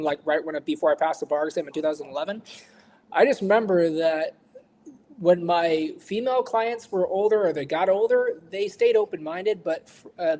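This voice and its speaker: A man's voice: a little high, warm tone, a little hiss or hum. A forty-year-old man on a casual panel chat, thinking aloud in a conversational way.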